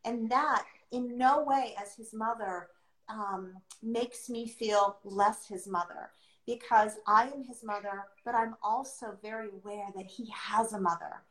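A middle-aged woman speaking reflectively and conversationally on a livestream, earnest and deliberate, pausing as she chooses her phrases. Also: on the high side, a bit bright. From born outside the US, adopted into America. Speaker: podcast host sharing her experience as an adoptive mother. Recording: roomy, echoing sound.

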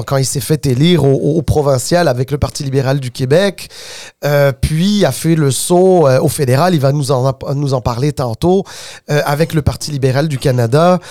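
A man's voice: fairly high pitch, darkish tone, no room sound, studio quality. A man hosting a conversational interview, often repeating words as he searches for the next one. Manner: hesitant, repeats words as he goes. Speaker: podcast host.

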